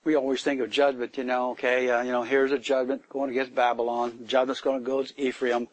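A man's voice: mid-range voice, level tone, fast, no echo, low-quality recording. A man preaching a sermon to a congregation, rising into emphatic bursts and putting questions to his listeners. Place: church gathering in the woods.

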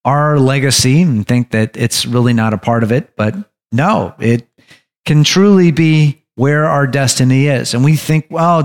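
A man leading a bible study group, teaching in a halting, staccato way with stops and restarts. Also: mid-range voice.

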